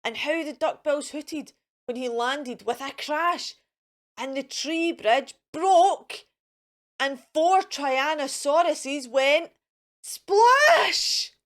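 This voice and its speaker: A woman's voice: high voice, expressive, slow. A woman hosting a children's storytime, reading a picture book aloud in a theatrical, sing-song way and speaking directly to the children.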